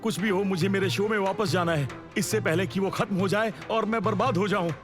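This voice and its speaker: A man's voice: high voice, brisk, close to an everyday voice. An actor voicing a self-important, easily panicked boy magician in a cartoon, with a grandiose manner. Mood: confused, indignant. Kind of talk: animated cartoon dubbing. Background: music.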